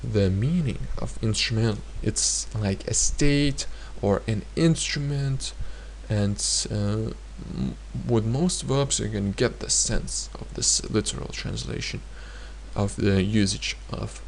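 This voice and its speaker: Guy teaching grammar, really slow, stops a lot to think, says examples twice, bit gravelly. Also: lowish voice, slight background noise. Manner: hesitant, many filler pauses, repeats example sentences. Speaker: language teacher. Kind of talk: explanatory grammar lesson.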